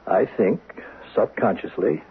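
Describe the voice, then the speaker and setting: An actor playing a frank, calmly authoritative psychiatrist in a 1970s radio drama, questioning with measured pauses. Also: male voice, on the low side, gravelly, breathy edge, heavily acted. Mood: frank, explaining. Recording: thin narrow-band vintage radio broadcast.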